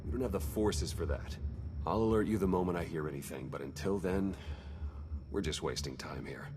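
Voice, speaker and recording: An actor playing a tired, matter-of-fact spy in a video game cutscene. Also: male voice, deep voice, moderate pace, darkish tone, slight background noise, close to an everyday voice. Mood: weary. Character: weary male spy and ally, practical. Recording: game score behind the voice.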